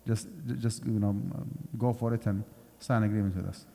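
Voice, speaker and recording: A man's voice: lowish voice, steady tone, normal speed, mellow. A man giving a conversational lecture on Islamic finance in a mosque, explaining step by step and checking in with his listeners. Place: mosque prayer hall. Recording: long hall reverb.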